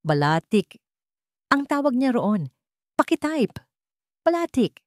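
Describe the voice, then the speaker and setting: A woman voicing an anxious, determined young woman in an audio story, hushed and urgent in manner. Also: on the high side, big pitch swings, takes it slowly, no echo, studio quality, pitched above the performer's own voice. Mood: urgent, excited. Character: anxious, determined young woman, a general's daughter, piecing clues together.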